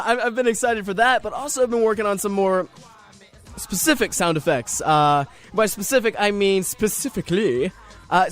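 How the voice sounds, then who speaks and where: A young man hosting a radio show solo, chatting casually in a rambling, jokey, self-deprecating way over music. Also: high voice. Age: young adult. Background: music under the voice.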